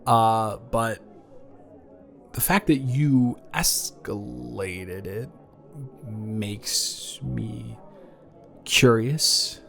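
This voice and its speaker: Casting: male actor for young ex-boyfriend, romantic audio roleplay. Flustered, tender, halting stammer, unhurried rambling, music underneath. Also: mid-range voice, gravelly, fairly bright tone, full character voice. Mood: amazed, curious. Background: background music.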